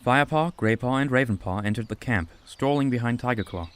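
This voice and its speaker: A man giving steady, scripted storybook narration for a fan-made audiobook. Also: mid-range voice, fairly quick, clean tone.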